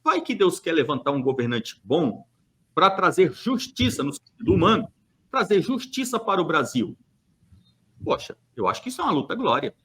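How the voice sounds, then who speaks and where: A man's voice: fairly high pitch, big pitch swings, moderate pace, fairly bright tone. A man from Brazil speaking on a church podcast, making an emphatic, argumentative case with rhetorical questions and hesitant fillers. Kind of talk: opinionated podcast monologue.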